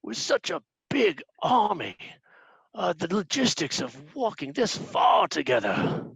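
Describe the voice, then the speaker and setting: A man playing a breezy, officious orc captain with a creaky, husky voice in a fantasy comedy table read. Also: sits high, wildly swooping pitch, measured pace, fairly bright tone, no room sound, heavily acted, low-quality recording. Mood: pompous, cheery.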